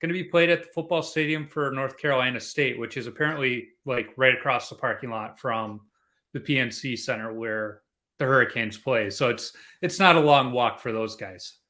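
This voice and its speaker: A man's voice: medium pitch, moderate pace, pushed and bright. A middle-aged man from Chicago hosting a casual podcast, chatty and rambling with restarts. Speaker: podcast host.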